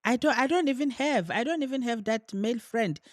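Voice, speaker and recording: A woman's voice: a little high, brisk, no echo, studio quality. A woman co-hosting a podcast in casual chat, talking earnestly and searching for her words. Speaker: podcast co-host.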